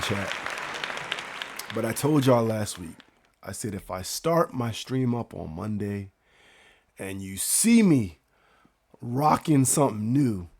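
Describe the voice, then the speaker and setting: Raspy man chatting on a livestream, unhurried. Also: mid-range voice, a little mellow. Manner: slangy, hyped, repeats phrases. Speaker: livestream host. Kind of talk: casual livestream chat.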